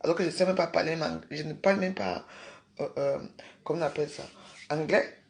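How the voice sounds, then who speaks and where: Gravelly woman scolding viewers. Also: deep voice, very animated, brisk, a little mellow. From Cameroon. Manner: emphatic, repetitive, scolding the viewers. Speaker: livestream host. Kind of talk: casual livestream rant.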